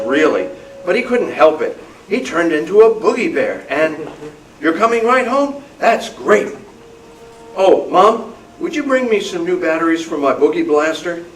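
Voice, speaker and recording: A man playing an eerily innocent young boy obsessed with boogeymen in an amateur radio thriller. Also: on the high side. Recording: echoey room, distant mic, faint noise.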